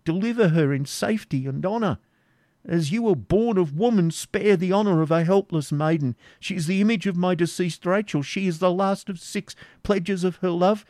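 Male reader as a frail old father, high strained voice, pleading and passionate, dry sound. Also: moderate pace, darkish tone, no echo, natural voice. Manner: impassioned, imploring.

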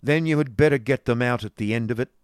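Male reader as a hard, blunt gentleman, loud and clipped, voiced up a step, in a dry room. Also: medium pitch, quick pace, clean tone, no room sound, voice pushed up, close to an everyday voice. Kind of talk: audiobook character voice.

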